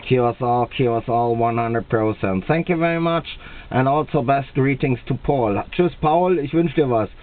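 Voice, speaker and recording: German radio operator, tinny narrow sound. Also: male voice, medium pitch, a little hiss or hum. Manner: slow, deliberate, repeats himself. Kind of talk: two-way radio contact. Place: indoors at a radio set. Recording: tinny, band-limited camera capture at the radio.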